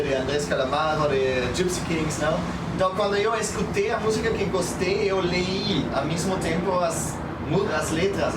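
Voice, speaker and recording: A man, telling stories and giving advice casually, haltingly, mixing in foreign words. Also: on the high side. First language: German. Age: 30s. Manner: halting non-native speech peppered with Spanish and English words and tag questions. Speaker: guest, public speaker. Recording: echoey, noisy, distant mic.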